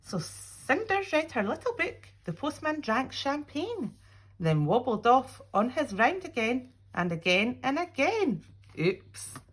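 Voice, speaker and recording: A woman reads a picture story aloud for young children. Her voice sits fairly high and moves widely up and down, with a husky edge. She leaves dramatic pauses and drops in small asides to her listeners. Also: very animated. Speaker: teacher reading a picture book aloud.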